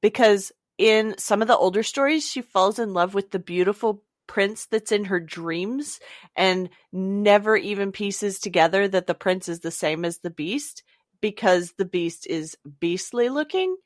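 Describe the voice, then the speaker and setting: A woman's voice: medium pitch, unhurried, no echo, clean studio sound. A woman co-hosting a casual discussion about books. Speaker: podcast co-host.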